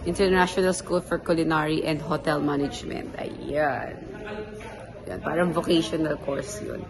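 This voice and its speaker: A woman in her thirties with a Filipino accent, vlogging outdoors on a phone at arm's length, excitable and gushing, mixing English into Tagalog. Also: sits low, unhurried, crowd noise, poor audio. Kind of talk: casual travel vlog. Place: outdoors at a town plaza and market. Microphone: phone held at arm's length.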